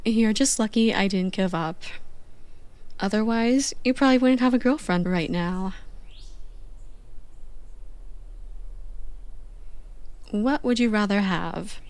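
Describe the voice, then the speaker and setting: A woman playing a playful, bossy young girlfriend on a slow morning in an intimate, conversational ASMR roleplay. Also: mid-range voice, a little mellow, no room sound, lightly acted. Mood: playful teasing.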